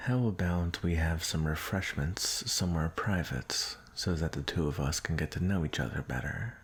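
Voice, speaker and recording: A man playing a smooth, possessive prince who flirts and coaxes in a romantic audio roleplay. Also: a bass voice, moderate pace, exaggerated voice. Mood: flirtatious, coaxing. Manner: suave, flirtatious, coaxing. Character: smooth, possessive suitor prince courting his arranged bride.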